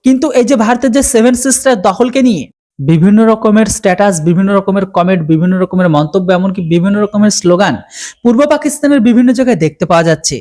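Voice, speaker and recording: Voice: mid-range voice, rapid-fire, darkish tone. A channel host from India with an Indian Bengali accent, delivering news commentary.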